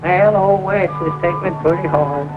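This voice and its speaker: An actor playing a soft-hearted, fretful older country storekeeper with a rural Southern accent, in 1940s film style. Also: male voice, high voice, moderate pace, natural voice. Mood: somber. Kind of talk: 1940s film comedy-drama acting. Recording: tinny 1940s film soundtrack, loud music behind.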